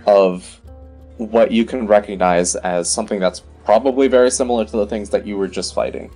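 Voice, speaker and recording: A man's voice: lowish voice, a little fast, warm tone. A man running a tabletop fantasy game as game master, describing scenes in a conversational way. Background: music.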